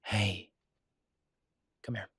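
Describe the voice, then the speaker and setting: A man playing a devoted, easygoing chef and reassuring partner in an intimate audio roleplay, speaking softly and haltingly with a husky voice. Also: no room sound, clean studio sound. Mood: tender, reassuring.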